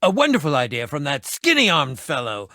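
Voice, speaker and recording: A man's voice: fairly high pitch, wildly swooping pitch, normal speed, sharp tone, dry recording, studio quality, heavily acted. An actor playing an eccentric, self-important man who fancies himself a ninja in a video game, speaking in a pompous, dramatic, wordy manner. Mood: smug, boastful. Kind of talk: animated video game voice acting.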